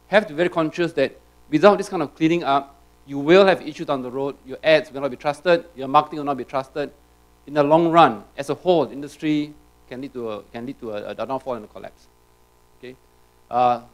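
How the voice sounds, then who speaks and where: A man's voice: a little high, rough recording. A man giving a conference talk in a large auditorium, peppering his points with "right?" and restarting his sentences. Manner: rapid, tag questions, frequent restarts. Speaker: conference speaker.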